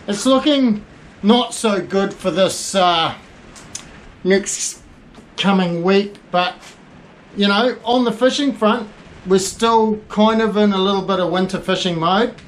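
A man's voice: sits high, a bit bright, slight background noise. Chatty New man giving a fishing report. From New Zealand. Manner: chatty, rambling with many fillers and restarts. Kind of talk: casual spoken fishing report. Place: small indoor tackle shop by a window.

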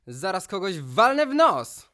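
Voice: medium pitch, big pitch swings, a little slow, pushed and bright. A performer voicing a mischievous, bored, grumbly boy storm spirit in a rhymed musical fairy tale for children. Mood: grumpy.

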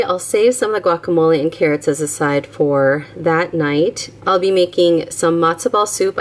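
A young woman talks through her groceries and weekly plan in a relaxed voice-over, ticking items off one after another. Her voice sits a little low for a woman. The delivery is chatty and unscripted. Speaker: vlogger. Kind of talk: casual voice-over narration.

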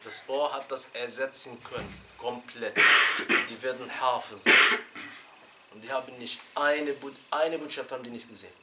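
A middle-aged man teaching an informal religious lesson in a small room, animated and chatty, laughing with his students and restarting his sentences. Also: medium pitch, normal speed, very noisy. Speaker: sheikh teaching a Quran class. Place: small indoor classroom.

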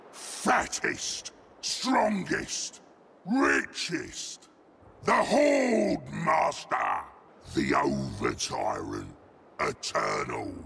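An actor playing a huge, gluttonous, boastful ogre king in a video game, booming out pompous battle lines in a gravelly voice. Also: sharp tone, slight background noise, exaggerated voice. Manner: pompous, bellowing, slow.

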